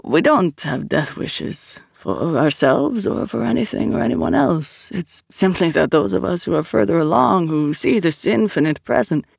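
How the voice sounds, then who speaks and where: An audiobook narrator voicing a serene male acolyte who speaks haltingly and philosophically, searching for words. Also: mid-range voice, expressive, gravelly, breathy edge, no room sound, clean studio sound, exaggerated voice. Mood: serene, earnest. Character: serene, hesitant otherworldly religious acolyte, a male. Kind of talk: audiobook character dialogue.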